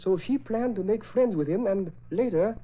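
An actor playing a faithful, shrewd valet who speaks deferential, clipped broken English in a 1940s radio drama. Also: male voice, high voice, moderate pace. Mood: shrewd, suggesting. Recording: muffled, tinny old radio broadcast.

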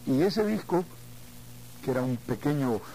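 A mature man from Argentina reminiscing in a conversational interview, haltingly, repeating words as he searches for the next. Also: medium pitch, expressive, warm tone, a little hiss or hum, low-quality recording. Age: middle-aged or older. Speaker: singer being interviewed.

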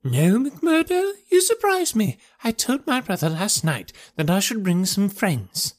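A man voicing a fussy, plaintive gentleman who pleads in genteel, indignant phrases for an audiobook. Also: high voice, sing-song, unhurried, pitched above the performer's own voice. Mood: surprised. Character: fussy, plaintive, high-voiced gentleman, easily flustered. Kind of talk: audiobook character voice.

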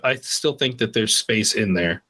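A man's voice: on the low side, lively intonation, unhurried, pushed and bright, husky. A man co-hosting a podcast, casually pushing back and rambling a little. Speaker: podcast co-host. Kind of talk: casual chat.

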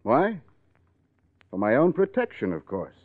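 A bitter, taunting newspaperman in a vintage radio drama. His pitch swings widely as he scorns the person he faces, and the recording is narrow and tinny like a phone line. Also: wildly swooping pitch, unhurried. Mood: cold, scornful. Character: bitter, scornful male newspaper columnist confronting a woman. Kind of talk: 1940s radio drama acting. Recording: muffled narrow-band old radio recording.

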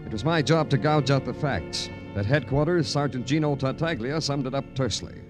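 A man's voice: mid-range voice, a little fast, natural voice. An American man acting a terse, world-weary police detective in a hardboiled 1950s radio crime drama. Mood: wry, hardboiled. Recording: old radio drama with music behind.

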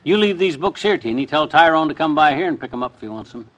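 High-pitched blustery radio husband. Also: male voice, expressive, fast, a bit bright. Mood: folksy, curious. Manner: folksy, slangy. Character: blustery, boyish, quarrelsome small-town husband. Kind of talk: old-time radio comedy acting.

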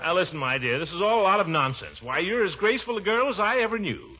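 Middle-aged uncle, pompous, blustery, big-hearted, bright forceful voice, old radio comedy, dull lo-fi sound. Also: male voice, high-pitched, expressive, fast, some chatter behind. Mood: soothing. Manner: blustery, theatrical, swooping delivery. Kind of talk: 1940s radio comedy acting. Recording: muffled old radio broadcast.

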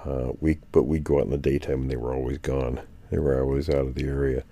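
Very deep-voiced man recounting field finds. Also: level tone, quick pace, warm tone, dry recording. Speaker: guest anthropologist. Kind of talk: casual podcast chat.

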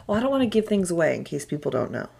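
Low, gravelly-voiced woman podcast co-host. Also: big pitch swings, racing, dark tone. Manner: chatty, swears casually, trails off mid-sentence. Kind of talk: casual chat.

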